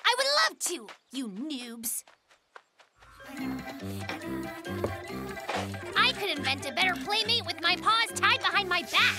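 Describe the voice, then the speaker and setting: A woman's voice: very high voice, expressive, normal speed. A woman voicing a boastful, cheeky little boy bear cub in a children's cartoon, cocky and insistent. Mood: huffy. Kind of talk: animated children's cartoon acting. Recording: music behind the voice.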